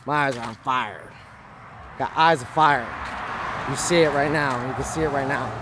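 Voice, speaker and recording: A man with a hoarse voice, talking to camera in a rambling, repetitive, preachy vlog monologue. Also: medium pitch, moderate pace, very noisy. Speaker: vlogger.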